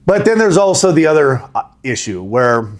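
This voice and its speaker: A middle-aged man with a big voice, a rowing coach answering interview questions in a relaxed, conversational way. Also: a little high, normal speed. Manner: conversational, laughs easily, says right? and you know.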